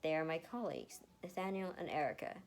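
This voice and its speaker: A woman's voice: low-pitched, brisk. Female reader as dutiful fantasy hero, young man, nervous, restrained, formal. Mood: guarded. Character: anxious, dutiful young man, stiffly polite. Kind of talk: dramatized fan fiction reading.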